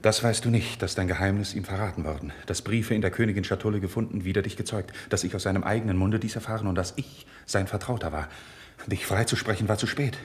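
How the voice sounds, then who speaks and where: An actor in a 1950s German radio drama playing a noble, self-sacrificing friend, speaking verse in a solemn, impassioned and declamatory way. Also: male voice, fairly low pitch, fairly even intonation, fast talker, lightly acted. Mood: grave, tender.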